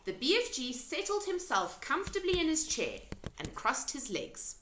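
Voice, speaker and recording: A woman reading a children's storybook aloud in an expressive narrating style. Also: high-pitched, moderate pace, roomy sound, a little hiss or hum.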